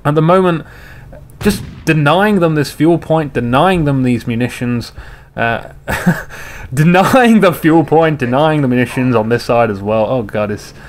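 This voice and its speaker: A man casually commentating on a game replay, slightly hoarse, rambling and joking with his viewers. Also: fairly high pitch, unhurried. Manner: rambling, jokey, chats to viewers.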